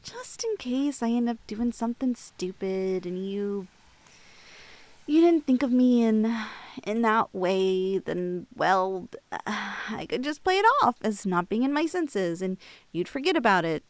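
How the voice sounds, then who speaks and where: A young woman playing a shy, lovestruck cosplayer in an intimate ASMR roleplay, with girlish slangy speech and a halting, bashful manner, close on the mic. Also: medium pitch, animated, no echo, lightly acted. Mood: wistful, hesitant. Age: young adult. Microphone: close, dry studio-style microphone.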